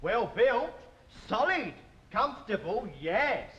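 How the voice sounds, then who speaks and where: Fussy, high-pitched, fawning royal tailor. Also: male voice, sing-song, takes it slowly, a bit bright, full character voice. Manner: gushing, obsequious, effusive. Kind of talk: theatrical period comedy acting. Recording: dull, echoey, noisy recording with crowd.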